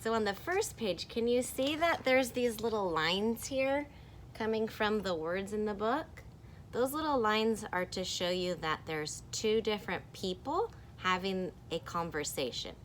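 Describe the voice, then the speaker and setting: Lively woman reading to children. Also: medium pitch, unhurried, slight background noise, poor audio. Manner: animated, encouraging, playful sound effects. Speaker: teacher. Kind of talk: reading a picture book aloud to children.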